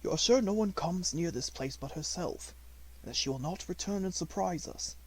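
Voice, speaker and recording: A man's voice: high-pitched, animated, normal speed, pitched above the performer's own voice, poor audio. A man voicing a young, inquisitive male secretary in a storybook, with a clipped, businesslike manner. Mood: curious, uneasy.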